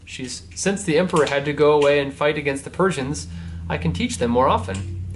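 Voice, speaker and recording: A man's voice: a little high, a little fast, a little hiss or hum, close to an everyday voice, poor audio. Man reading as a devout, earnest Roman mother.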